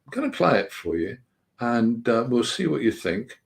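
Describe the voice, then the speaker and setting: An older man hosts a live chat in a casual, rambling way. His voice is gravelly and sits at an ordinary pitch for a man. He stops often on fillers. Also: very animated, normal speed. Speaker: host.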